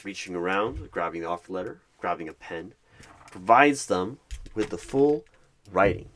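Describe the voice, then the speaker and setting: A man narrating an ASMR roleplay in the third person, deliberately, in short dramatic phrases. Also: sits low, fairly bright tone.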